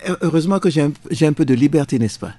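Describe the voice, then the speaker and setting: Emphatic man, high animated delivery, dry studio sound. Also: racing, no echo. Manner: passionate, emphatic, preacherly cadence. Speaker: guest, jurist. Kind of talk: radio debate. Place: radio studio.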